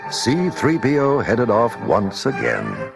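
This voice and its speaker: A man's voice: medium pitch, huge pitch swings, slow pace, creaky, over music. A man narrating a scripted children's read-along story.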